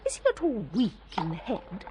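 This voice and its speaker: An actress playing a lively young aristocratic lady with an upper-class English accent, teasing and expressive, in a radio drama. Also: mid-range voice, normal speed, background noise, lightly acted. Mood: puzzled.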